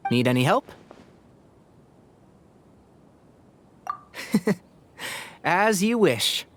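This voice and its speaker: A man playing a gentle, amiable young scholar with a friendly, teasing manner in an anime game. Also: high voice, sing-song, takes it slowly, slight background noise, heavily acted.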